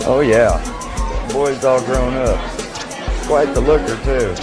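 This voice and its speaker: Man as an easygoing sergeant over loud music. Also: mid-range voice, a little slow, lightly acted. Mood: unbothered. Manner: unbothered, folksy grammar. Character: easygoing, womanizing male army sergeant. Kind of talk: audio drama acting. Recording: loud music and crowd behind.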